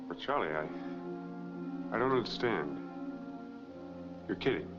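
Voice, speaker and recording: A man's voice: on the low side, animated, measured pace. A man playing an earnest, determined framed man in a 1940s crime film, speaking in a clipped, plain way. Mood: bewildered. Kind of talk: 1940s film noir acting. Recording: dull vintage film soundtrack, music behind.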